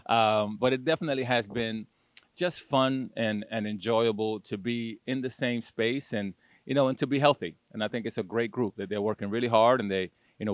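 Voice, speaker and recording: A middle-aged man, a head basketball coach, answering interview questions in a halting way with many fillers and restarts. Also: on the low side, no echo.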